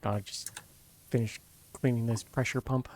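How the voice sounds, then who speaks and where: A man's voice: medium pitch, fairly even intonation, moderate pace, a little mellow. A man from the United States, playing a game with friends and chatting casually in short, dry remarks. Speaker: co-player. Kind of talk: casual gaming chat.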